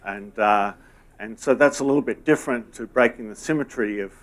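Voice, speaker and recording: A middle-aged man answering audience questions after a lecture in a conference room, halting, with many fillers and restarts. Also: lowish voice.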